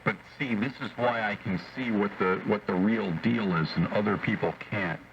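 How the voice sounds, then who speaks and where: A man's voice: fairly low pitch, moderate pace. A man chatting casually over shortwave ham radio in a wry, opinionated, joking way, like an operator in a group discussion. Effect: shortwave radio transmission. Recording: dull, noisy shortwave radio reception. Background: radio static.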